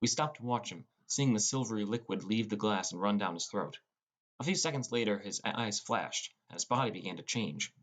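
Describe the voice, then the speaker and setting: A man with a fairly low voice narrates scripted fiction in the first person. He reads as an audiobook narrator, telling the story line by line. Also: a little fast.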